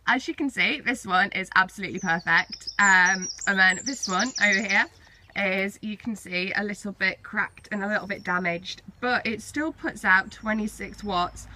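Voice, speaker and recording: A woman's voice: medium pitch, pushed and bright, slight background noise. A woman giving a casual, chatty handheld tour to viewers, wandering off into informal asides as she films on her phone. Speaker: vlogger showing own off-grid setup. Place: outside by a caravan, then inside it. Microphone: handheld phone behind the camera.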